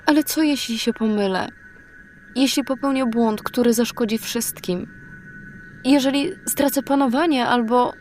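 A woman playing an uncertain, earnest warrior woman without her memories in a fantasy audio drama, soft-spoken and hesitant. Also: fairly high pitch, measured pace, a little hiss or hum, close to an everyday voice. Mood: doubting.

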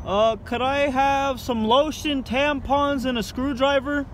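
A man's voice: very high-pitched, fairly even intonation, a little slow, fairly bright tone. A young man pranking drive-thru workers from inside a car, recorded on a phone held close. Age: young adult. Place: inside a parked car at night. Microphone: phone held close in front. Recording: car interior with faint noise.

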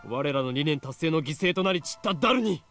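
Pompous, wildly swooping young mad scientist. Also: male voice, fairly high pitch, expressive, very fast, fairly bright tone, faint music behind. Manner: pompous, dramatic. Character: grandiose, theatrical young self-styled mad scientist. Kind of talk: Japanese visual novel voice acting.

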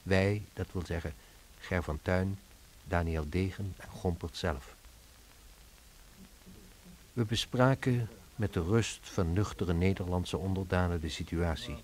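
A man's voice: low voice, a little slow, darkish tone, slight background noise, poor audio. An actor with a Dutch accent playing a reflective man in hiding who narrates a wartime radio play on an old recording. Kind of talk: scripted radio drama narration.

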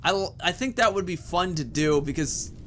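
A man's voice: high voice, animated, moderate pace, fairly bright tone, slight background noise. A man in his thirties giving casual, informal, rambling commentary as he hosts a video game playthrough. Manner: rambling, informal, swears mildly. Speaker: gaming video host.

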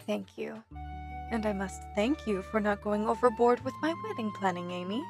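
A woman's voice: medium pitch, normal speed, over music, lightly acted. A woman voicing a poised, regal young female cat bride in a cartoon comic dub, formal and composed.

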